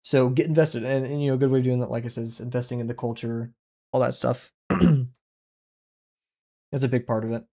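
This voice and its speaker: Hombre joven charlando casualmente en directo. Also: male voice, medium pitch, fairly quick, gravelly, long pauses, warm tone. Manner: rambling, conversational, frequent fillers, self-deprecating. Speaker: art streamer. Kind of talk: casual livestream chat while drawing. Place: small home room, indoors. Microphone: headset with boom mic.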